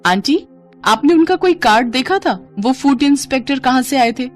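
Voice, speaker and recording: A woman's voice: high-pitched, lively intonation, moderate pace, soft background music, pitched above the performer's own voice. A woman voicing a kind, helpful young female customer in a moral story, eager to help. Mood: concerned. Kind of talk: storybook character acting.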